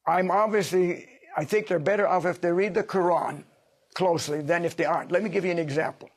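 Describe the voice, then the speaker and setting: A man's voice: high-pitched, fairly quick. An older man answering interview questions as a sociologist, emphatic and lecturing, asking himself rhetorical questions.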